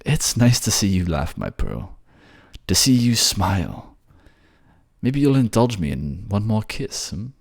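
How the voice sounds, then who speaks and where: A courtly merman prince played by a man for a romantic fantasy audio drama. His voice is very deep and gravelly, with lively melodic swings. He is affectionate, polite and modest, with bashful hesitations. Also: unhurried, no room sound, studio quality, full character voice. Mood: bashful, amused.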